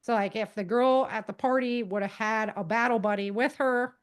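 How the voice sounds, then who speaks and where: A woman's voice: fairly high pitch, steady tone, moderate pace, clean tone. A woman speaking as a podcast guest, giving practical advice in a casual, conversational way and explaining things with animation.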